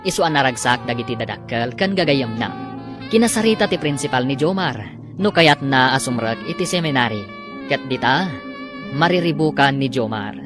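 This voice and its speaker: A man's voice: medium pitch, animated. Filipino man announcing radio drama over music. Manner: emphatic, drawn-out titles. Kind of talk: scripted dramatic narration. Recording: radio broadcast with loud music bed.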